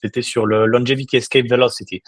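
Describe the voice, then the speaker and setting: Un homme d'une quarantaine d'années, invité d'un podcast, qui parle sérieusement avec beaucoup d'hésitations et de reprises. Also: male voice, medium pitch, fairly even intonation, quick pace, no echo. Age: 40s. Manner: earnest, many restarts and hesitations. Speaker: guest. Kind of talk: casual interview talk.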